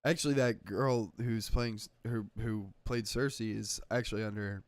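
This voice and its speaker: A man's voice: fairly low pitch, steady tone, moderate pace, no room sound. Easygoing man on a dry podcast. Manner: easygoing, agreeable, acts out quotes. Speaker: podcast co-host. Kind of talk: casual podcast banter.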